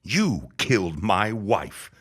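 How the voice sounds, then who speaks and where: A man's voice: fairly low pitch, very animated, slow pace, a bit bright, breathy edge, full character voice. A man playing a bitter, vengeful old dying reverend in a period audio drama, speaking in a grandiose, scornful way. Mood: accusing, menacing. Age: older. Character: bitter, vengeful, near-death old reverend and landowner father.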